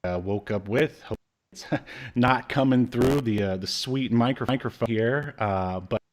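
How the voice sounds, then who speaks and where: A man's voice: mid-range voice, measured pace. A man in his thirties with a slight cold, chatting casually and jokingly as a podcast co-host reviewing pop culture. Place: home room with shelves, video call.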